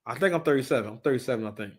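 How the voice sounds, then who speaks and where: A man's voice: medium pitch, lively intonation, very fast. A man in his thirties giving casual, unscripted live commentary, talking emphatically, repeating his phrases and swearing freely. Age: 30s.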